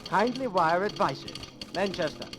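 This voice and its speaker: A woman's voice: lowish voice, animated, unhurried, background noise. A woman voicing an impersonal telegraph message in a 1940s radio drama, clipped and formal.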